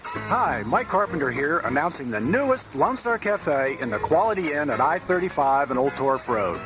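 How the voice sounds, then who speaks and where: Male commercial announcer, upbeat and animated, over a music bed on taped local radio. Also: fairly high pitch, moderate pace, low-quality recording.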